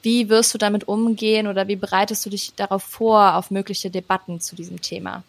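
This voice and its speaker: A woman from the Rhineland hosts a podcast interview and talks hesitantly, with plenty of fillers. Her pitch stays level and flat, with little rise or fall. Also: fairly quick, creaky. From Rhineland, Germany. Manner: hesitant, many fillers, even delivery. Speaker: podcast host.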